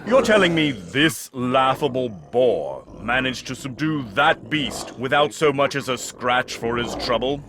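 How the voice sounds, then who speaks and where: Dark overlord: disdainful, formal, widely swinging pitch, clear effects noise behind. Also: male voice, big pitch swings, unhurried, few pauses. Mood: imperious intrigue. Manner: grandiose, haughty, formal. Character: imperious, ancient dark lord, disdainful villain. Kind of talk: comedic fantasy audio drama acting. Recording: loud sound effects behind voice.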